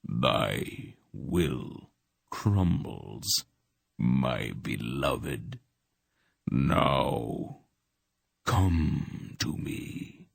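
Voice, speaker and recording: A rasping ancient god of darkness, all slow purring menace , voiced by a male audiobook reader. The voice sits very low and gravelly, stretching each word. The recording is dry and close. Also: very animated, no room sound, exaggerated voice. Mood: gloating menace. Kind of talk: audiobook character voice.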